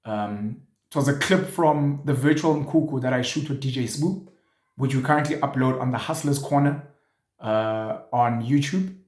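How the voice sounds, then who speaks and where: A man's voice: mid-range voice, moderate pace, a little mellow. A South African man in his thirties hosting a show, talking earnestly and reflectively with many fillers. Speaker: show host. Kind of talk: casual monologue to camera. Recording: echoey room.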